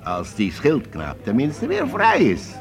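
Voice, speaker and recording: An actor playing a polite, scheming nobleman in a radio play, smooth and courteous. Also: medium pitch, huge pitch swings, slow, heavily acted.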